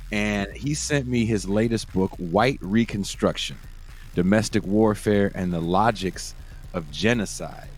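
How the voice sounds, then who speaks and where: Laid-back low-voiced podcast host. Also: male voice, slight background noise. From Oakland, California. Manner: laid-back, laughs often, profane. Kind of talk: casual interview chat.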